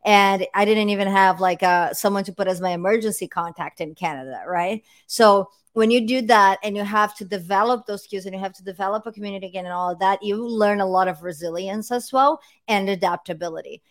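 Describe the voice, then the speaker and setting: A woman's voice: medium pitch, a little fast, hardly any pauses. A woman hosting a podcast, asking long rambling questions in a chatty way and saying "like" often. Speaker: podcast host. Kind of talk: interview questions, casual chat.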